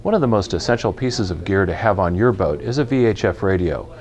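A man in his fifties, filmed in a large indoor store with a lapel mic, explaining equipment to camera in a clear, measured, practical way. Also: on the low side, animated, darkish tone. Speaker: retail company spokesperson presenting boating gear.

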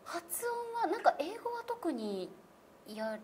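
A woman's voice: very high-pitched, sing-song, takes it slowly, a little mellow, slight background noise. A polite, modest young woman taking a pronunciation lesson, repeating drills and giving short replies. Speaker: pronunciation student, radio personality. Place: meeting room, indoors.

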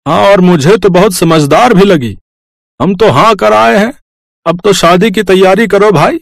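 Ageing farmer father played by a man for a cartoon moral tale: kindly, practical, homely, emphatic, higher-pitched. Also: unhurried, vocal fry. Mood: agreeable, practical. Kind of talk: cartoon moral story dialogue.

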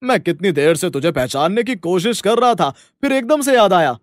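A man plays a long-time friend in a cartoon story. He is chatty, curious and familiar, with a rather high pitch and a dry sound. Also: animated, normal speed, dry recording. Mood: friendly, curious.

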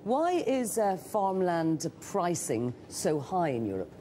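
A woman news anchor interviewing a guest in a TV studio, asking brisk questions with some restarts. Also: on the low side, big pitch swings, background noise.

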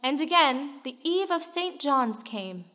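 A woman's voice: high-pitched, big pitch swings, measured pace, bright, forceful tone, exaggerated voice. A woman narrating a folktale audio play as a dramatic storyteller. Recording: long reverb, music underneath.

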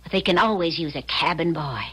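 A woman's voice: low voice, a little fast, a bit bright, breathy edge. A woman playing a bold, resolute actress turned spy in a 1970s radio drama. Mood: earnest, resolute.

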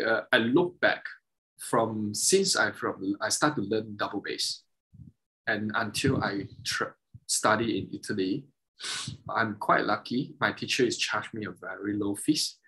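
A man in his thirties whose first languages are Mandarin and Cantonese, speaking on a video call as a guest, halting and restarting often as he explains technical details. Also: lowish voice, sharp tone, breathy edge, rough recording. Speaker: guest, double bassist and violin maker. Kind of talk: casual interview over video call.

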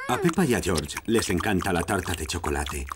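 A man with a Castilian Spanish accent narrating a children's cartoon in a clear storytelling delivery. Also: deep voice, sing-song, brisk, over a noisy crowd. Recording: prominent music behind narration.

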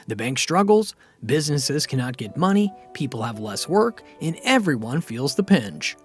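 A man reading a scripted finance explainer narration in an even, steady, explanatory way. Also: on the high side, normal speed, soft background music.

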